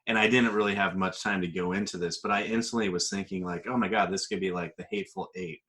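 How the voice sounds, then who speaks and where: Low-voiced man chatting loosely on a podcast. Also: fairly even intonation, quick pace, pushed and bright. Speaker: podcast panelist. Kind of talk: casual chat.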